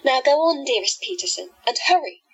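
Radio drama seductress, played by a woman: high, breathy, musical, playfully coaxing and in control. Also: animated, brisk, exaggerated voice, poor audio. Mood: coaxing, commanding. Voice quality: soft, musical. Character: sweetly manipulative woman hypnotist, seductive and playful. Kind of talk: audio drama acting.

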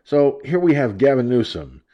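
A man's voice: mid-range voice, big pitch swings, moderate pace, muffled recording. A man hosting an online talk radio show, ranting brashly and profanely about politics, his voice stuffed up and nasal from allergies.

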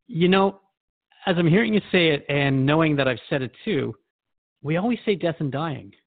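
A man's voice: on the high side, expressive, normal speed, dry recording, narrow, tinny audio. A man playing a curious, mildly skeptical radio interviewer in a scripted drama, thoughtful and conversational. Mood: musing. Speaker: radio host.

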